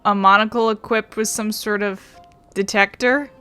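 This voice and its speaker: A woman's voice: medium pitch, fairly even intonation, normal speed, pushed and bright, smooth, faint music behind. A woman hosting a let's-play, chatting casually and talking back to the game's characters. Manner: chatty, talks to game characters. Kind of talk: casual game commentary.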